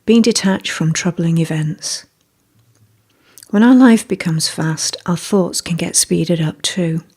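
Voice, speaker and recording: Female guide for scripted meditation: soft, husky-breathy tone, slightly low, soothing and unhurried delivery. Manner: gentle, measured, soothing.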